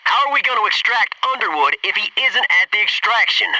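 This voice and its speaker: An actor playing an alert, loyal Navy SEAL squad soldier in a military shooter game, giving clipped reports and dry remarks. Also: male voice, sits high, expressive, brisk, pushed and bright, recorded from a distance, slight background noise, full character voice, low-quality recording. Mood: focused, businesslike.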